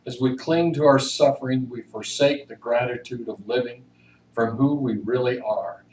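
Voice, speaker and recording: Male reader, mid-pitched, measured and emphatic, church service; roomy sound with faint noise. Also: a little mellow, distant mic. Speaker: fellowship member reading at a church service. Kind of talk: introduction and read-aloud reflection. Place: church room, recorded from a distance.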